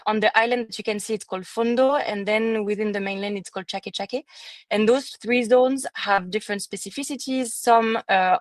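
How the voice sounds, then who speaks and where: Woman presenting research, measured with fillers. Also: medium pitch, dry recording. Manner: measured, with frequent fillers and restarts. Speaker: student presenter. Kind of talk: academic conference presentation. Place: conference room.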